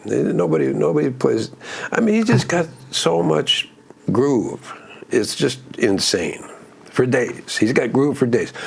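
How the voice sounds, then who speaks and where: A man with a gruff voice hosting a casual music breakdown, rambling with restarts and plenty of enthusiasm. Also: mid-range voice. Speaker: music channel host.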